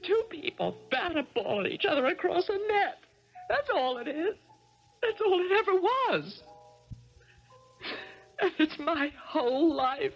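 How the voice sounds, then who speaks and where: Casting: weary, bitter sports star woman, dull broadcast sound under soft music. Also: high voice, very animated, a little slow, long pauses, full character voice. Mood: bitter, agitated. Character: despairing tennis star woman, brittle and bitter. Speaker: actress and narrator in radio drama. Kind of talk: 1970s radio drama acting and narration.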